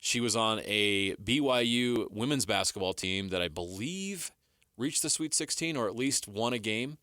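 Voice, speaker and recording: A man's voice: on the low side, expressive, moderate pace, pushed and bright, no room sound, studio quality. A man co-hosting a sports talk show, giving emphatic, opinionated commentary as he counts down a list.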